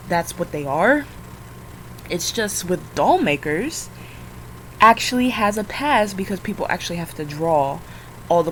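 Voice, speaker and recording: A tired young woman with a scratchy morning voice talks in a rambling, casual way. She uses plenty of ums and restarts, and her pitch sits on the lower side. A faint hiss of background noise runs under the recording. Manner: rambling, frequent fillers, self-corrections. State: just woke up, tired. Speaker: vlogger. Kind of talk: casual rambling vlog while drawing.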